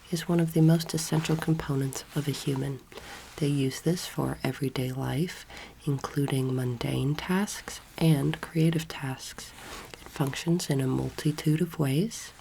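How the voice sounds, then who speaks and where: A young woman speaking softly and breathily, often near a whisper, in a slow, gentle ASMR roleplay lecture as a teacher. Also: deep voice, gravelly, slight background noise, rough recording. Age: young adult. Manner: slow, gentle, deliberate instruction.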